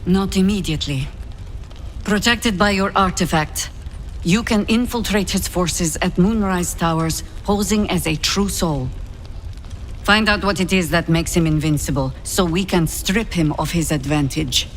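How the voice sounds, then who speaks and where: Actress as a low-voiced, commanding veteran druid woman. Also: a little hiss or hum, lightly acted. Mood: grave, resolute. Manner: measured, formal, authoritative. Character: shrewd, commanding veteran druid heroine, very old, wary. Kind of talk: fantasy video game dialogue.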